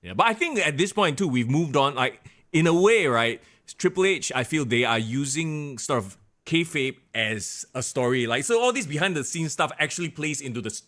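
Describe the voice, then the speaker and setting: A man's voice: a little high, big pitch swings, normal speed, a bit bright. A man co-hosting a podcast, chatting in a joking, laid-back way. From Singapore. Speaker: podcast co-host. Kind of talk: casual chat.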